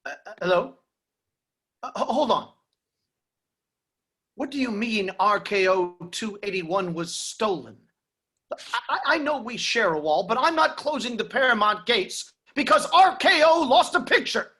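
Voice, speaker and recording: A man's voice: sits high, expressive, bright, forceful tone, heavily acted. A man playing a brash, flustered Hollywood studio boss who stammers his way through a script table read. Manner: stammering, fast-switching, salesman-like.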